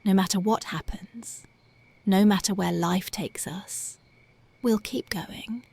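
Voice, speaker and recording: A woman playing a slow, gravelly whispering punk girlfriend. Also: mid-range voice, no echo, exaggerated voice. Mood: tender, dreamy. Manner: soft, often drifting into whispers. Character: tender young punk girlfriend, dreamy and devoted. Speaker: ASMR voice actress. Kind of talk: ASMR girlfriend roleplay.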